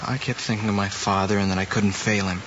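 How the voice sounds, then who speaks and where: A man's voice: fairly low pitch, little pitch movement, quick pace, fairly bright tone, natural voice. Guy acting as young american student, guilty and earnest, old movie drama, muffled w/ lots of noise. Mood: resigned, contrite. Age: young adult. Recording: dull, noisy old film soundtrack.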